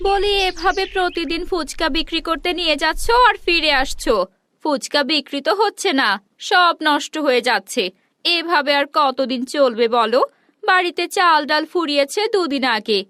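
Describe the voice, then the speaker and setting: A woman's voice: high-pitched, moderate pace, pushed and bright, dry recording, clean studio sound. An actress playing a worried, nagging wife and mother from a poor village in a cartoon, complaining and beseeching. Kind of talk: cartoon dubbing.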